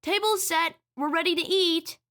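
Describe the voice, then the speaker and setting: High-voiced, curious little boy. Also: normal speed, a bit bright, a little hiss or hum. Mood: curious, casual. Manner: casual, childlike, blunt. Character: curious, skeptical young boy, heroine's little brother. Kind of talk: naturalistic family audio drama acting.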